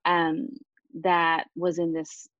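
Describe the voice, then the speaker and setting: A woman from Japan and Australia, a native Japanese speaker, talking earnestly and reflectively as an interview guest, in a calm, hesitant way. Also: lowish voice, slow pace, no room sound, dull audio.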